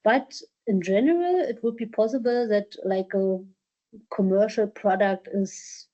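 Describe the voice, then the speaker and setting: A woman's voice: mid-range voice, a little slow, mellow. A woman presenter answering audience questions at a workshop, hesitant with many fillers and restarts. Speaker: presenter from a culture collection. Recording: dull lo-fi conference audio.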